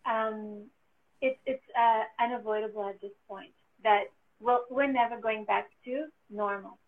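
A woman with a French accent speaks in a fairly high voice as a guest in a casual chat. She laughs often and sounds hopeful and full of faith. The sound is thin and narrow, like a phone line. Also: fairly even intonation, measured pace. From France. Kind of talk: casual conversation.

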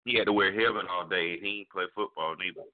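A man co-hosting a morning radio show, quickly chiming in to rib the others. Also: deep voice. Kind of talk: casual radio banter. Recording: tinny phone-like sound.

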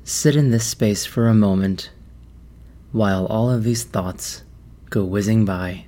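Slow, low-voiced man guiding meditation. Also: steady tone, dark tone. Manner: measured, deliberate, with long pauses. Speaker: meditation guide. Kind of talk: guided meditation.

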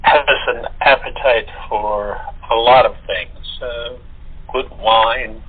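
A man chatting as an interview guest in halting phrases, over a tinny, muffled phone line. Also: medium pitch, fairly even intonation, husky. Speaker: voice actor guest. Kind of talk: casual interview chat.

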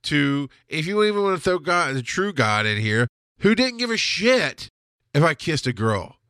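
A man's voice: a little high, sing-song, normal speed, bright, forceful tone, no room sound. A man hosting a podcast from his home studio, telling a long personal story in a rambling, profane way with a stuffy nose and frequent laughs. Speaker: podcast host. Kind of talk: casual storytelling monologue.

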